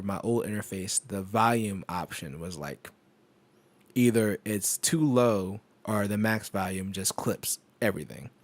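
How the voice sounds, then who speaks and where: Low-voiced man rambling on a live stream. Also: no echo. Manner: rambling, laughs often, many fillers and restarts. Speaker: tech streamer. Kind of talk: casual live stream chat. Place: home desk setup. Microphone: microphone on an arm through an audio interface.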